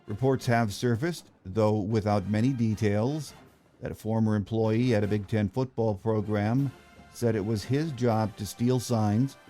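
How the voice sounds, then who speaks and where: A man's voice: fairly low pitch, warm tone. An American man hosting a sports podcast, reading scripted news and introductions.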